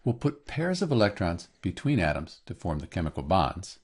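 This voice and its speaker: Male tutorial narrator, lowish voice, very varied intonation, teacherly step-by-step delivery. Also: sing-song, moderate pace. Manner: clear step-by-step explaining. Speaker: chemistry teacher, tutorial host. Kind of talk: scripted instructional narration.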